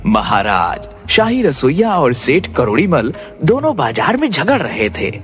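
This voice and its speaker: A man's voice: a little high, wildly swooping pitch, measured pace, faint music behind. A man playing a royal minister in an audio folk tale, speaking respectfully and formally to his king. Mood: deferential.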